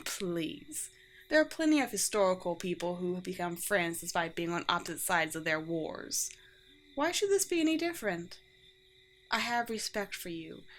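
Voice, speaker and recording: A woman playing a courteous, teasing, world-weary vampire queen in a roleplay audio drama, with formal, old-fashioned and gently wry phrasing. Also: medium pitch, close to an everyday voice. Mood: sincere, warm. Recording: clear background noise with ambience.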